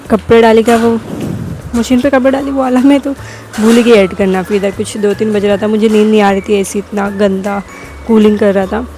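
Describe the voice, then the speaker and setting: Hoarse young woman narrating a vlog. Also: mid-range voice, mellow. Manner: rambling, self-correcting narration. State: sore throat, coughing. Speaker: vlogger. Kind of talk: casual vlog voice-over.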